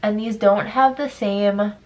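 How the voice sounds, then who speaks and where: A woman's voice: mid-range voice, fairly even intonation, takes it slowly, darkish tone, poor audio. A young woman with a stuffy, congested voice, chatting candidly through a beauty product try-on and reading product blurbs aloud. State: congested, sick. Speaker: beauty vlogger. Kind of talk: casual product try-on chat.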